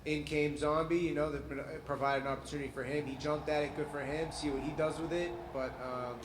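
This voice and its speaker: A man's voice: a little high, little pitch movement, quick pace. A man from New England, a fighter and promoter, answering questions at a press scrum in a casual way, swearing freely and saying "man" a lot. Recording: echoey room with loud crowd noise.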